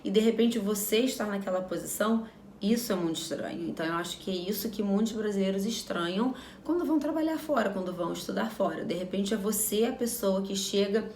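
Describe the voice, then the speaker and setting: A woman's voice: mid-range voice, a little fast, a little mellow, reverberant, slight background noise. A Brazilian woman in her thirties talking frankly to camera in a casual vlog, repeating phrases for emphasis. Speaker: vlogger.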